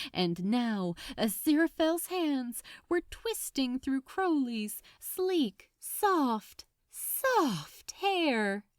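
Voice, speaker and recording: Female story narrator, slow and dramatic, lingering on phrases, lively melodic delivery. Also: on the high side, no room sound, clean studio sound. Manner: expressive, unhurried, lingering on phrases. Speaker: audiobook-style narrator of fan fiction.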